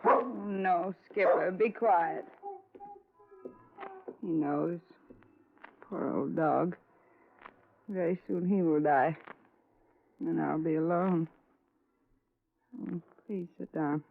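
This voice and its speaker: An actress playing a poor, grieving old widow in a 1950s radio drama, speaking in a halting, subdued, gentle way. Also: female voice, lowish voice, gravelly. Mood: sorrowful, weary. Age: older. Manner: halting, subdued, short sentences. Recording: crackly, narrowband old radio recording.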